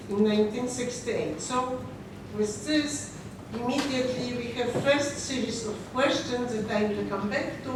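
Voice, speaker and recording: A woman in her sixties from Poland, whose first language is Polish, speaks with a Polish accent. She gives a personal talk to an audience, halting and restarting often. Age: 60s. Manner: halting, with frequent restarts and fillers. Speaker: guest speaker. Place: synagogue hall. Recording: echoey hall from far off with rumble.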